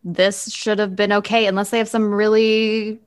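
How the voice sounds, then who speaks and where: A woman's voice: medium pitch, little pitch movement, moderate pace, clear, resonant tone. A woman hosting a casual group discussion in a chatty, informal way, often saying "like". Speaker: podcast host.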